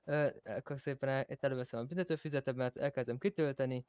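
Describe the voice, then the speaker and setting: A man's voice: mid-range voice, a little mellow, clean tone, no echo, natural voice. A man playing a polite, chatty police officer who fumbles through formalities in an online roleplay game. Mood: formal, bustling.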